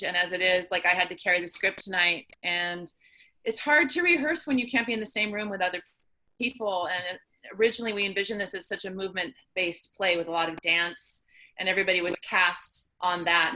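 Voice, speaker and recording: A woman's voice: fairly low pitch, sharp tone, poor audio. A woman talking casually as a playwright and performer answering questions on a video call. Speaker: playwright and performer in online post-show Q&A. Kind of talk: casual Q&A talk.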